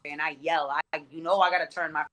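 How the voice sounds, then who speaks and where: A man's voice: on the high side, little pitch movement, fast, pushed and bright. A man, a rapper guest on a podcast, talking casually in loose street slang.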